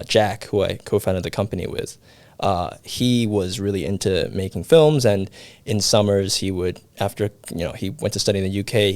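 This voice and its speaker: A young man from Hong Kong, a guest on a podcast, chatting in a relaxed way with lots of fillers. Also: on the low side, gravelly, no echo. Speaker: guest, production company founder. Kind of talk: casual interview. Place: video podcast studio.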